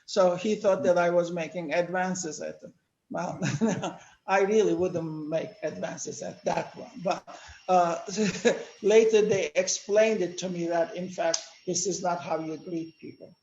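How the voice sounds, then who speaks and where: An older man from Turkey, speaking natively, giving a measured lecture-style talk with many pauses. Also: high voice, fairly even intonation, darkish tone, echoey room, dull audio, a little hiss or hum. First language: Turkish. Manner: measured, explanatory, with frequent "uh" pauses. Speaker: panel speaker. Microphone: video call.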